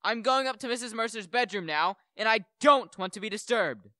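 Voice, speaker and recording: A man's voice: sits high, lively intonation, fairly quick, pushed and bright, no echo, clean studio sound. A teenage male student actor playing a furious, reclusive widowed master of the house in a radio play, curt and commanding. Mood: bitter, stern.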